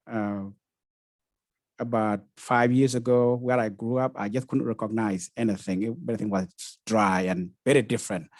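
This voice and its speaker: A man from South Sudan, a researcher speaking on a panel, halting with frequent uhs and repeated words. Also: mid-range voice, a little mellow, dry recording, studio quality. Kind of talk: webinar panel talk.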